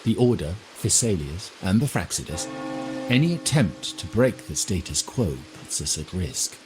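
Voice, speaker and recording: Deep, courtly, calculating male heir. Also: sing-song, music in the background. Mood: guarded, firm. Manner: formal, measured, deliberate. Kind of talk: scripted game dialogue.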